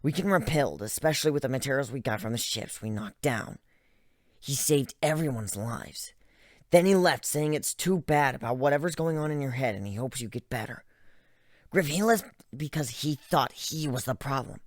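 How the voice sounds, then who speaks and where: A reader voicing a blunt but concerned female griffin in a dramatic story reading, earnest and deliberate. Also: mid-range voice, animated, no room sound, studio quality, natural voice. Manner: earnest, firm, pleading, deliberate. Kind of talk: dramatic reading of fan fiction.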